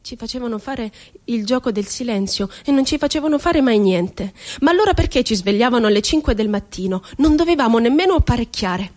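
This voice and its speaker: A woman's voice: a little high, animated, a little fast, few pauses, darkish tone, natural voice. An actress playing an ironic, wounded woman poet confined in an asylum, telling her story expressively in a radio theatre monologue. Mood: wry, mock-innocent.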